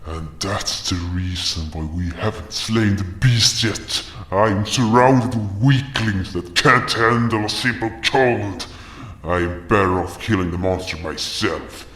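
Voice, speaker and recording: A man's voice: lowish voice, unhurried, fairly bright tone, echoey room. A man voicing a bitter, vengeful beast guardian in an audio drama, scornful and commanding.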